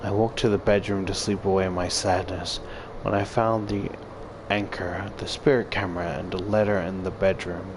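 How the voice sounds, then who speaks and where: A man's voice: low-pitched, little pitch movement, normal speed, noisy background. A man reading a visual novel aloud as a gaming YouTuber, with casual, profane commentary and exclamations.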